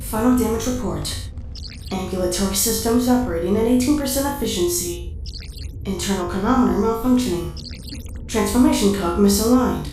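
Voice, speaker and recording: A woman playing an impassive robotic diagnostic computer, reporting in flat, clipped, formal phrases. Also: medium pitch, normal speed, natural voice. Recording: heavy echo, loud music behind.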